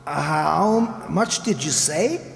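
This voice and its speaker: A man plays a radio-drama auctioneer, businesslike but easily flustered. He calls out haltingly in a high, unhurried voice that swings up and down through a very echoey hall. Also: lively intonation, gravelly, heavily acted. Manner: calling out, halting. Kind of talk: old-time radio drama acting. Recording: echoey hall, long reverb.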